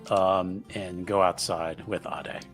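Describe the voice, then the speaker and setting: A man's voice: deep voice, fairly even intonation, slow, fairly bright tone, faint music behind. A man playing a tabletop roleplaying game, casually narrating what his character does.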